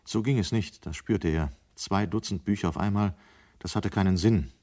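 A man's voice: low voice, normal speed, dark tone. A man narrating a 1970s German radio drama as a sober storyteller.

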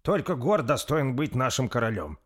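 A man's voice: medium pitch, very animated, normal speed, voice pushed up. A man voicing a smug, portly lord who makes self-satisfied declarations.

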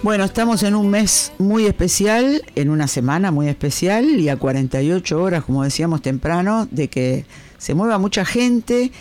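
A man's voice: sits high, expressive, normal speed, darkish tone, soft background music. A man hosting a radio interview, introducing his guest with enthusiasm. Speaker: radio host.

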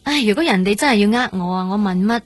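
A woman's voice: mid-range voice, animated, fast talker, natural voice. An actress playing a frail young woman patient in a radio drama, speaking Hong Kong Cantonese with an earnest, stubbornly independent manner. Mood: mildly defiant. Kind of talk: radio drama acting and first-person narration.

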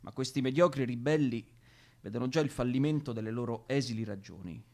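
An actor playing an irritable, bossy young militant with a Roman accent, arguing and swearing in a naturalistic radio drama. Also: male voice, mid-range voice, a little fast, darkish tone, lightly acted. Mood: indignant. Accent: Roman Italian. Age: young adult. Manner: foul-mouthed, argumentative.

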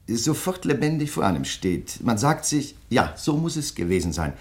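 An actor playing a polite, insistent crime novelist in a German radio play, speaking in a measured, deliberate way. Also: male voice, medium pitch, very animated. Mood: polite, probing. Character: courteous, insistent male crime novelist probing a fellow writer.

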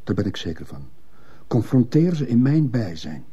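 An actor playing a troubled, conscience-stricken schoolteacher in a radio play, hesitant and earnest, with a husky, gravelly voice. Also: male voice, medium pitch, sing-song, moderate pace, mellow, lo-fi sound. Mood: insistent. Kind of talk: Dutch-language radio drama acting.

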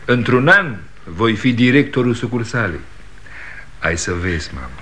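A man's voice: mid-range voice, expressive, pushed and bright, a little hiss or hum. An actor playing an eager, boastful younger grown son, reassuring his parents in a radio play.

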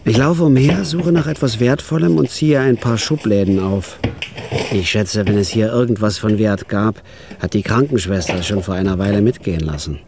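A man's voice: fairly low pitch, moderate pace, noisy background, natural voice. An actor playing a weary, cynical male killer who narrates his own story in a dry, matter-of-fact radio drama style. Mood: deadpan. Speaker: first-person narrator. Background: plucked guitar music.

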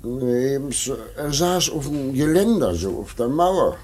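A man playing a humble, talkative old servant in a radio drama, husky. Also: medium pitch, big pitch swings, takes it slowly, darkish tone, full character voice. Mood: rambling.

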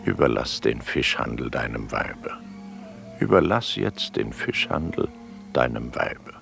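An actor voicing the deep, commanding voice of a prophet calling a man by name in a 1950s radio play. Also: sing-song, measured pace, exaggerated voice. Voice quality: very deep, gravelly. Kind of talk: 1950s radio drama acting. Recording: voice over loud plucked music.

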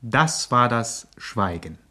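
A male actor playing a deadpan narrator in a mock-documentary radio serial. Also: medium pitch, slow pace, heavily acted. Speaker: second narrator.

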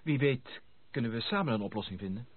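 An actor playing an earnest, sympathetic gentleman with a husky voice and courteous manner in a radio drama. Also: mid-range voice, animated, quick pace. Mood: sympathetic, sincere. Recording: tinny, band-limited old radio recording.

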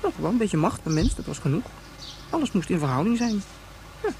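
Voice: medium pitch, very animated, brisk, a little mellow, a little hiss or hum. An actor in a radio drama playing a naive, chatty child who muses aloud in childlike, repetitive phrases. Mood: musing, earnest.

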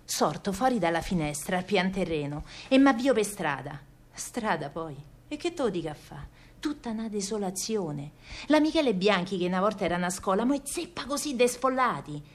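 An actress playing a stubborn young working-class wife with a Roman accent, telling wartime memories in a colloquial, earthy and wry theatrical monologue. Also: female voice, mid-range voice, big pitch swings, moderate pace, lightly acted. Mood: urgent, vivid.

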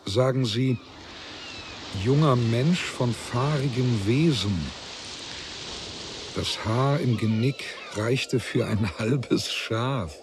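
A precise townsman passing on reports, slow mid voice, radio drama, noisy background. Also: medium pitch. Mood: measured, wry. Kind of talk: German radio drama acting. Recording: clear background noise.

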